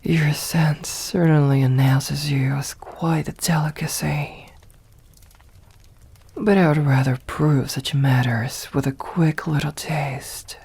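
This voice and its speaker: A woman voicing a seductive, possessive vampire mistress in an ASMR roleplay, speaking formally and coaxingly in a breathy, often whispered voice. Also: sits low, steady tone, a little mellow, slight background noise, exaggerated voice. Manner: slow, formal, coaxing endearments.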